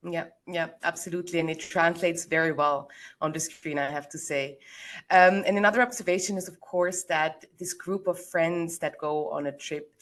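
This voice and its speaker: Female host, film club Q&A, measured questions, slightly low pitch. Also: fairly even intonation, a bit bright. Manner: friendly, measured questions with fillers. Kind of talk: moderating a Q&A.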